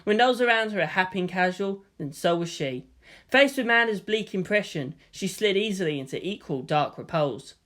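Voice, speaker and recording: Storybook narrator with a medium-pitched voice. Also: expressive, normal speed, fairly bright tone. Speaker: fan fiction reader.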